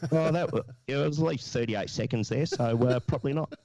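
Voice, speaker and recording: A man's voice: mid-range voice, normal speed, mellow. A man co-hosting a podcast with casual, tongue-in-cheek banter and a cheeky manner. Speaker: podcast co-host.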